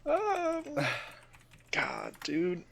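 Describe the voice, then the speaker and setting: A man's voice: high voice, wildly swooping pitch, drawn-out words, vocal fry, a bit bright. A young man co-hosting a podcast, giving casual opinions in a sardonic, exasperated way. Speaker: podcast co-host.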